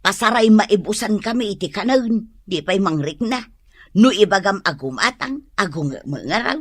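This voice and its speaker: An actress with an Ilocano accent playing a patient, pleading elderly mother with a husky voice in a radio drama. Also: mid-range voice, animated, moderate pace, no room sound. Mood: gentle, resigned.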